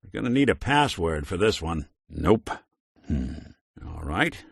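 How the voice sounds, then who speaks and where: A man's voice: deep voice, sing-song, a little slow, gravelly, slightly rough, no room sound, full character voice. An actor voicing a world-weary male robot detective in a game, talking in dry, hard-boiled wisecracks. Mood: reflective. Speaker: game companion character. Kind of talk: video game voice acting.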